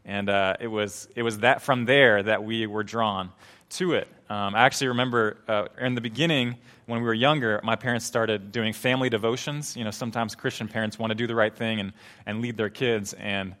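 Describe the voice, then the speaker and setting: A man's voice: lowish voice, steady tone, fairly quick, fairly bright tone. A young man giving a sermon as a pastor, earnest and conversational, with frequent fillers and restarts.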